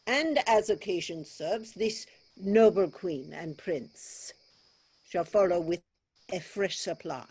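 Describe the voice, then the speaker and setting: A commanding king of France, played by a woman, speaks formal verse with regal weight. The voice is slightly low for a woman and very unhurried. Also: expressive, a little mellow, husky, exaggerated voice. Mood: resolved. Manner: measured, formal, regal delivery. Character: stately, commanding French king, male. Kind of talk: staged reading of Shakespearean verse drama. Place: remote video call.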